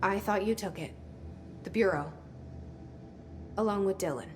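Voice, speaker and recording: A woman's voice: mid-range voice, unhurried, a little hiss or hum, lightly acted. A woman playing a guarded, searching young heroine in a video game, restrained and confiding. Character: guarded, searching young woman hunting her lost brother. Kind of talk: video game cutscene acting.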